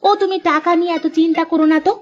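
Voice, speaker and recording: Cartoon role: actress as high-voiced, scolding fisherman's wife, bossy, practical. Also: fairly even intonation, racing, highs cut off. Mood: practical, coaxing. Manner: nagging, scolding. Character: sharp-tongued, practical fisherman's wife, bossy but supportive. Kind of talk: Bengali cartoon story voice acting.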